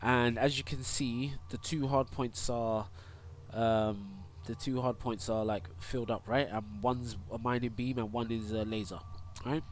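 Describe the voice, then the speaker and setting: A man's voice: mid-range voice, fairly even intonation, unhurried. A young man with a cold, congested, casually walking through a game tutorial full of fillers and restarts. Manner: rambling, many fillers and restarts. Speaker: gaming YouTuber and streamer.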